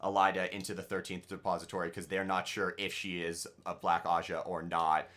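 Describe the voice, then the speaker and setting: A man co-hosting a TV reaction show in casual chat, halting and correcting himself mid-sentence. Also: deep voice, flat delivery, a bit bright. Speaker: co-host of a TV episode reaction show.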